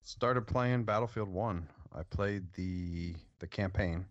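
A man co-hosting a casual podcast chat, rambling through his story with pauses and restarts. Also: deep voice, lo-fi sound. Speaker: podcast co-host.

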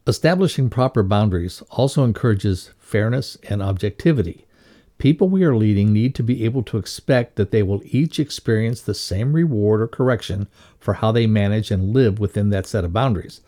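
A man's voice: fairly low pitch, dark tone. A man reading a scripted instructional lesson aloud as a narrator. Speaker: reader of a leadership values lesson.

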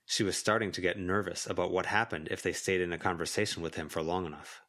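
A man's voice: deep voice, fairly even intonation, fast, fairly bright tone. A man reading a story aloud as an audiobook narrator, in scripted narration. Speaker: volunteer audiobook reader. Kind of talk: scripted fiction narration with character voices.

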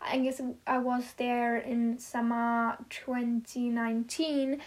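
A woman's voice: fairly high pitch, flat delivery, slow pace. A fifteen-year-old girl whose first language is German, chatting casually about herself with lots of fillers and giggles. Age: teens. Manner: giggly, rambling, many fillers. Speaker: vlogger answering questions about herself. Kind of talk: casual chat.

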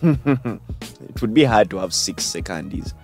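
Male Ugandan radio host, animated and teasing, casual comic chat over a music bed. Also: fairly low pitch, takes it slowly. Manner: playful, teasing. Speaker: radio talk show host. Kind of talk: casual comedic banter.

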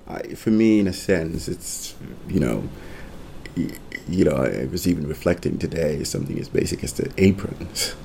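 A man raised in Italy tells his life story as a podcast guest, thoughtful and rambling. His voice is very deep, gravelly and dark. At times it drops to a soft near-whisper. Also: a little hiss or hum. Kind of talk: reflective storytelling.

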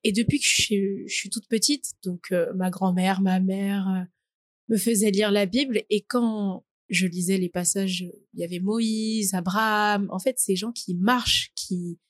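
A woman's voice: medium pitch, fairly even intonation, measured pace. A woman in her twenties from French Guiana, answering interview questions in a hesitant, casual way with many fillers. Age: 20s. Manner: hesitant, many "euh" and "du coup", laughs easily. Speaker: podcast guest.

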